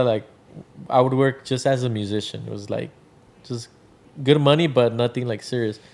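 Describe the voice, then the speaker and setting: A man in his thirties from Venezuela, chatting casually as a podcast guest, with a relaxed, rambling manner full of fillers. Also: medium pitch. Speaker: guest, drink brand founder. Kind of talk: casual interview chat.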